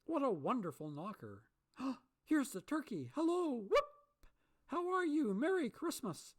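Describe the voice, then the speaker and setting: A reader voicing a raised, excited old miser. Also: medium pitch, normal speed, voice pushed up, close to an everyday voice. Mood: jolly. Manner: pleading, then giddy and exclaiming. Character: old miser, repentant and overjoyed.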